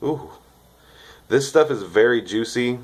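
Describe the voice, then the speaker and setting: A man's voice: medium pitch, lively intonation, a little slow. A young man, congested from a cold, chatting casually and cheerfully in a rambling vlog with lots of fillers. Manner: rambling, upbeat, filler-heavy. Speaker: vlogger reviewing dip tobacco.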